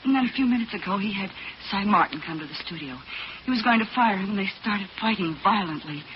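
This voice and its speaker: A woman's voice: medium pitch, animated, fast, lightly acted. An actress playing an anxious, earnest female studio secretary in a 1940s radio drama, with breathless, worried delivery. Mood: frightened. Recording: noisy, dull old 1940s radio recording.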